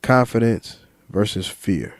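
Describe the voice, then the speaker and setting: A man talking freely to his viewers in a reflective monologue, slow and deliberate, listing ideas one word at a time with some fillers and repeats. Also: on the low side, expressive, no echo. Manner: lists ideas in measured single words. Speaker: vlogger.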